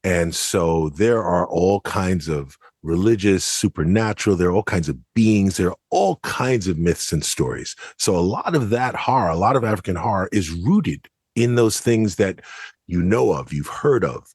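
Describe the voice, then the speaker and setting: A man's voice: low-pitched, expressive, normal speed, a little mellow, breathy edge, no room sound, clean studio sound. An American man giving conversational podcast analysis in an explanatory, emphatic way. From United States. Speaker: podcast commentator.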